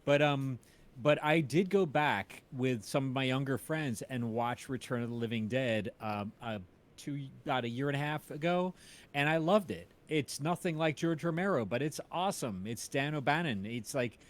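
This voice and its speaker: A middle-aged man in an informal, enthusiastic film chat on a livestream, building his points haltingly as an interviewer. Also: medium pitch. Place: indoors at home, on a group video call.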